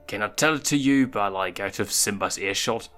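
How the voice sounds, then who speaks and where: A man's voice: on the low side, normal speed, pushed and bright, soft background music. A man voicing a surly, defensive young male lion in a story reading, blunt, crude and grumbling. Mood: flustered, sulky.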